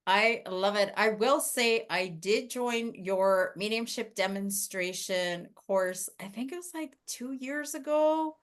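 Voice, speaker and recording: A woman's voice: medium pitch, slow pace, hardly any pauses. A woman hosting a podcast, chatting with a guest in a gushing, enthusiastic way over a video call. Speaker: podcast host. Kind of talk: casual interview chat.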